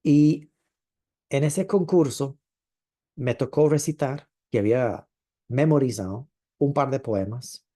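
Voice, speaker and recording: A man preaching a sermon in a thoughtful way, pausing often and stretching out words he wants to stress. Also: medium pitch, warm tone. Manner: thoughtful, with frequent hesitations and drawn-out stresses.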